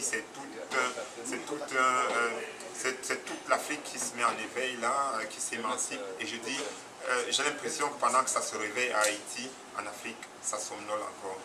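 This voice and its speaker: A man from Cameroon, giving a spontaneous, earnest public comment as an audience member, hesitant, with many fillers and restarts. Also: mid-range voice. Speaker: audience member praising a documentary. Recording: distant mic with crowd noise.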